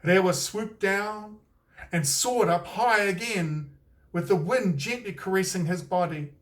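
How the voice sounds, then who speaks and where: A New Zealand man narrates a picture book in a slightly high voice. He takes his time, reading very slowly and expressively. The microphone sits a little way off from him. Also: distant mic. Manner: unhurried, expressive read-aloud delivery. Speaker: author and storyteller.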